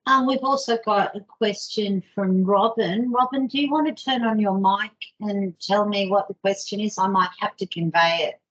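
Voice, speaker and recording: A woman's voice: mid-range voice, moderate pace, few pauses, fairly bright tone. A woman moderating a question session, enthusiastic and encouraging. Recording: dull lo-fi audio.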